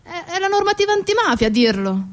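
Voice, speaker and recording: A woman performing a theatrical first-person monologue in short, deliberate phrases, addressing the listener directly. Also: very high-pitched, very animated. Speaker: stage actress and narrator.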